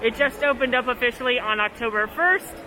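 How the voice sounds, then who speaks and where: A woman's voice: on the high side, a little fast, rough recording. A woman hosting an upbeat theme-park vlog outdoors, talking in a bubbly, chatty, playful way. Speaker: vlog host.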